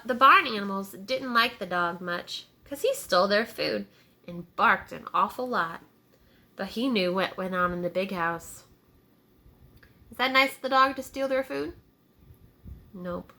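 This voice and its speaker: A woman teacher reading a picture book aloud to young children, deliberate and child-directed, pausing to explain words and ask simple questions. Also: mid-range voice, bright, forceful tone.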